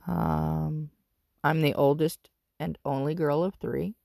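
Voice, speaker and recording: A woman in her fifties from Northern California, raspy from smoke, talking about her life in a loose, rambling monologue full of ums and restarts. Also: low-pitched, steady tone, slow, warm tone, no echo, clean studio sound. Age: 50s. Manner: rambling, with frequent ums and self-corrections. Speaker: podcast host. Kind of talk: casual personal monologue.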